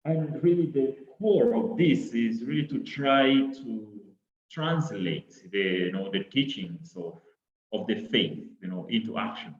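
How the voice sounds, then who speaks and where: A middle-aged man from Congo with a Congolese accent, his first languages Kiswahili and French, giving an online talk in a halting way full of repeats and "you know". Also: medium pitch, animated. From Democratic Republic of Congo. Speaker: presenter. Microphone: laptop on a video call. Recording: echoey, dull laptop audio.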